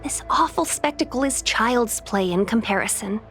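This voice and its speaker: A woman voicing a calm, courteous fantasy guide in a video game, polite and given to storytelling. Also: on the high side, normal speed, faint music behind. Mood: calm, grave. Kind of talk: English video game dub acting.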